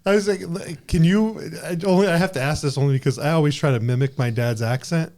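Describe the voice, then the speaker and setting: A man's voice: on the high side, fast talker, a little mellow. A man hosting a casual podcast interview, encouraging his guest and laughing along. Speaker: podcast host.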